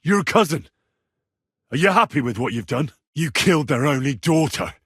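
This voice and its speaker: A man's voice: on the high side, big pitch swings, normal speed, a bit bright, breathy edge, no echo, clean studio sound, full character voice. A man acting as a plain-spoken, righteous young medieval man in video game dialogue, direct and earnest in manner. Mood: accusing, angry. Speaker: voice actor.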